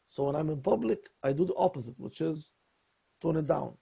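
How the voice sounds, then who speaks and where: A raspy man speaks in a halting, apologetic way, doubling back on his words again and again. His voice is dark and gravelly, sitting a little higher than most men's, with a husky edge throughout. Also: expressive, dull audio. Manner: apologetic and halting, many restarts. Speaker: voice student. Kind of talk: voice lesson conversation.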